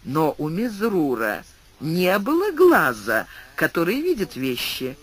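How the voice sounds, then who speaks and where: Lively, expressive man narrating an audiobook. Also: on the high side, takes it slowly, husky. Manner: expressive storytelling reading. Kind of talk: scripted literary narration.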